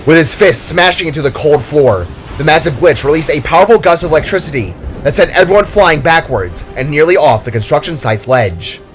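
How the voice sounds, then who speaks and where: A man's voice: a little high, lively intonation, fairly quick, few pauses. A man reading scripted narration for an action story in a dramatic storyteller's style. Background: music.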